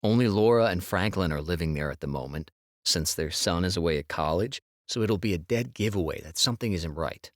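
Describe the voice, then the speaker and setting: A man playing an easygoing, sensitive husband in a con crew, speaking in a measured way in an audiobook drama. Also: deep voice, expressive, no room sound, studio quality. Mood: scheming, matter-of-fact. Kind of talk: full-cast audiobook dialogue acting.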